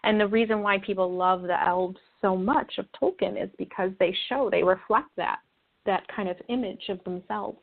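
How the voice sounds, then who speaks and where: A woman speaks as an interview guest through a thin, phone-like connection. She explains things in an earnest, conversational way, at a middling pitch for a woman. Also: normal speed, vocal fry. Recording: narrow phone-like call line.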